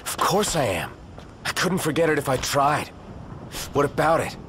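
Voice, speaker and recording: Moody young prince, wildly varying pitch. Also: male voice, sing-song, moderate pace, a little hiss or hum. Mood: sullen. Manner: sullen, terse, exasperated. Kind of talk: dramatic video game acting. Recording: very loud game music behind the voice.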